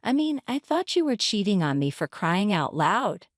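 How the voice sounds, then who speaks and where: A woman's voice: medium pitch, sing-song, moderate pace, no echo, studio quality. Indignant, hurt wife, acted by a woman. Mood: hurt, exasperated. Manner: confrontational, pleading. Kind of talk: dramatized story reading.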